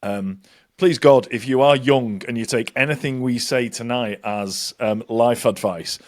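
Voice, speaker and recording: A man's voice: mid-range voice, unhurried. A man, co-hosting a casual podcast, joking, swearing and laughing a lot. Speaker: podcast co-host. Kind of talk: casual banter.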